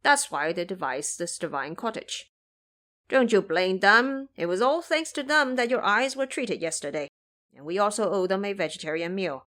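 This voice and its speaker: Foolish pig sidekick, male woman performer, blunt and full of grumbles, animated intonation, audio drama. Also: mid-range voice, moderate pace, natural voice. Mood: earnest. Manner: blunt, complaining, blustering.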